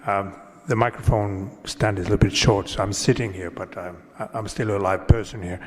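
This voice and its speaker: A man's voice: on the low side, fairly even intonation, moderate pace, crowd noise. Polite man asking a question. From Finland. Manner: polite, hesitant, with ums and small jokes. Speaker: audience member asking a question. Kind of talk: question from the floor. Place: large conference hall. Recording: long reverb of a large hall.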